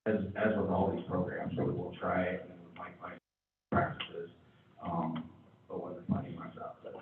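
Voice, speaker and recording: A man briefing a city council in a halting way, with many fillers and restarts. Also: low voice, flat delivery, slight background noise. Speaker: city chief presenting to council. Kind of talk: council meeting report. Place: council chamber. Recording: tinny, echoey chamber from far off.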